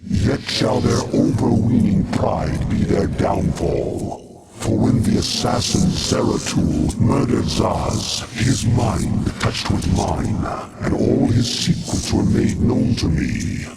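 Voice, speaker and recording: A man voicing an ancient, godlike alien overmind in a video game, grandiose and solemn, with a gravelly, otherworldly voice. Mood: triumphant. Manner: solemn, grandiose, slow proclamation. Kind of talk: dramatic video game voice acting. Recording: loud rumbling creature noise behind.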